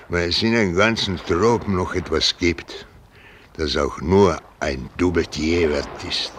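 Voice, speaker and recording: An actor playing a gruff, irritable, cursing merchant sea captain in a radio drama, grumbling and blustering. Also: male voice, a bass voice, noisy background.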